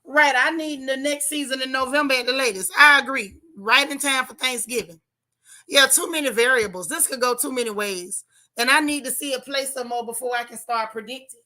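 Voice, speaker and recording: A hoarse woman hosts a casual livestream, her voice pitched a little high and bright. She talks straight to her chat, animated and opinionated. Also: brisk. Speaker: channel host reviewing a TV show.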